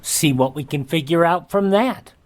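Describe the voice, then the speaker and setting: A man's voice: on the high side, normal speed, music in the background. An American man hosts a radio program. He speaks conversationally, with a folksy, personal manner suited to introductions and host-read endorsements.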